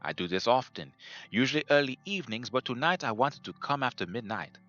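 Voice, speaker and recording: A man's voice: medium pitch, lively intonation, brisk, a bit bright, dry recording, close to an everyday voice. A man playing a pompous scientist. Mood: impatient. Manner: pompous and demanding. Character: arrogant, skeptical male doctor of science. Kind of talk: audio drama acting. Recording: slightly dull lo-fi recording.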